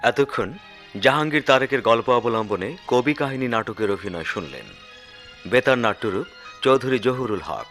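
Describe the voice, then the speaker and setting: A man's voice: mid-range voice, lively intonation, moderate pace, a bit bright. A man reading closing credits formally as a radio announcer. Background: music behind the voice.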